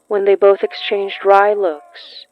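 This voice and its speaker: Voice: medium pitch, monotone, takes it slowly, no room sound. Muffled story narrator over music.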